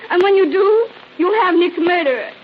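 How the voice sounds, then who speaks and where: An actress playing an excited, frightened young wife in an old radio drama, stammering and breathless. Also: female voice, very high-pitched, moderate pace, soft background music. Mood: anxious, insistent. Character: excited, frightened young wife fearing her husband was murdered. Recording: dull, hissy old radio recording.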